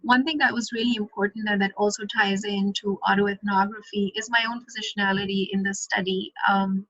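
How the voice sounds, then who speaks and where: A woman in her thirties from Pakistan giving a measured conference presentation, with frequent ums. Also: medium pitch, fairly even intonation, a bit bright, husky, dry recording. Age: 30s. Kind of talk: academic presentation. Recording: muffled lo-fi audio.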